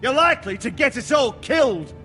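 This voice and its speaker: 억양 변화가 큰 다혈질 기사. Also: male voice, very high voice, animated, fairly quick, pushed and bright, exaggerated voice. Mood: angry, exasperated. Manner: blunt, contemptuous. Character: hot-headed, scornful warrior knight, blunt and hawkish. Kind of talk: dramatic video game acting. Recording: long hall reverb, faint music.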